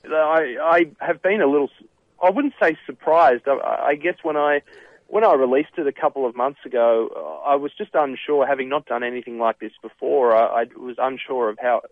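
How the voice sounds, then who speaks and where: A man's voice: medium pitch, no room sound. Australian man on a tinny phone line. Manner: halting, many uh fillers and restarts. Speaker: professional golfer and author, guest. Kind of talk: radio interview answers.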